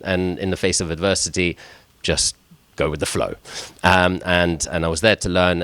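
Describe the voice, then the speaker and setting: A middle-aged man from Switzerland, a chef, tells his life story to a gathering with a deep, low voice. He speaks haltingly and stumbles over repeated words as he begins each thought. The sound is dry and close, with almost no room around it. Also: fairly bright tone, dry recording. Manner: hesitant, repeats words when starting sentences. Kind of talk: personal story talk.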